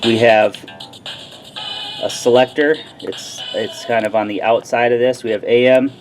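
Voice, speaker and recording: A man's voice: medium pitch, steady tone, unhurried, faint music behind. A man giving a casual, plain-spoken product review and demonstration in an informal way. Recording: clearly noisy background.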